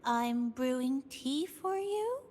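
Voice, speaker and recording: A woman voicing a gentle, whimsical, easily flustered tea server in a video game, with an airy, drawn-out, faltering delivery. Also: high voice, animated, slight background noise. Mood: sheepish. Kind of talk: video game story dialogue.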